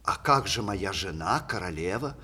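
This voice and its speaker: An actor playing a witty older playwright in a 1960s Soviet radio play, courtly and ironic, with theatrical delivery and a husky voice. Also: male voice, low voice, lively intonation, moderate pace, sharp tone, exaggerated voice. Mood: ironic, mannered.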